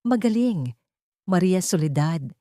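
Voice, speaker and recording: A woman's voice: fairly low pitch, animated, dark tone, no echo, studio quality. A woman with a Filipino accent voicing a serious, wealthy older male mentor in a grave manner for an audio story. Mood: approving, serious. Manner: grave, measured.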